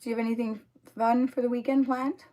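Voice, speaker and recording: A young woman with a voice hoarse from a cold, chatting casually with viewers during a livestream and filling her talk with "like" and "um". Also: fairly high pitch, little pitch movement, fairly quick, warm tone, lo-fi sound. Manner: chatty, filler-heavy, talks to viewers in the comments. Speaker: livestream host. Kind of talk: casual livestream chat while doing makeup.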